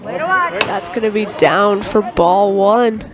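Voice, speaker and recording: A woman's voice: medium pitch, big pitch swings, slow. A woman doing live play-by-play commentary for a game while recovering from a cold, reading sponsor spots between plays. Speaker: play-by-play announcer. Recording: crowd noise behind.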